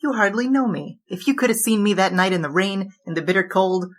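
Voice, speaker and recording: An actress playing a boastful, flamboyant female bandit king in an audio drama, with a theatrical, grandiose, quick-witted delivery. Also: medium pitch, fairly even intonation, close to an everyday voice.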